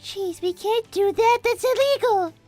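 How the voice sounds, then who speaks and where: A woman's voice: extremely high pitch, unhurried, a little mellow, soft background music. A woman voicing a young girl rabbit, a prim, indignant schemer who speaks politely and formally in improvised cartoon roleplay. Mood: defensive, prim. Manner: polite, prissy, formal wording.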